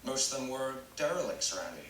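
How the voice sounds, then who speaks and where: An actor at a table read playing a matter-of-fact biology teacher lecturing a class in a dry, formal, deliberate way. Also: male voice, mid-range voice, big pitch swings, a bit bright, reverberant, recorded from a distance, a little hiss or hum. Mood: deadpan. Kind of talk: live comedy table read.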